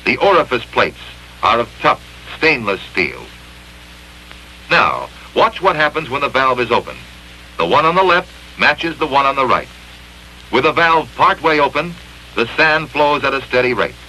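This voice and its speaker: Bright, forceful 1960s training film man. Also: mid-range voice, sing-song, normal speed, rough recording. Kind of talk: 1960s industrial film scripted narration. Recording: 1960s film soundtrack with faint hum.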